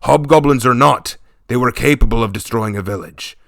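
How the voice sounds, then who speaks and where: A man voicing a stern, gruff warlord chieftain speaking to his daughters, grave and commanding. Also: medium pitch, a bit bright, no room sound, studio quality. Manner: commanding, grave, slow and weighty.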